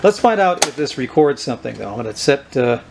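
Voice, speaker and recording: A man's voice: mid-range voice, brisk. Man demonstrating gear over background noise. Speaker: host of a vintage electronics video. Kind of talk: casual hands-on demonstration. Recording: clear steady background noise.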